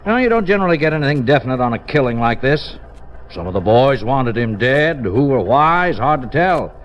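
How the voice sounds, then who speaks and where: An actor playing a world-weary homicide lieutenant, blunt and terse, in a 1950s radio drama. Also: male voice, medium pitch, sing-song, a little fast, natural voice. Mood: resigned. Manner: terse, blunt, clipped sentences. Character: world-weary, matter-of-fact male police homicide lieutenant. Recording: muffled vintage radio recording with music.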